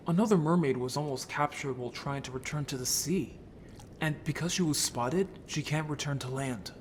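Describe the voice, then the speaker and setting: A man's voice: mid-range voice, slight background noise, lightly acted. A man playing an earnest, sensitive merman in an audio drama, hesitant and emotional in delivery. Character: earnest, sensitive merman haunted by childhood friendship.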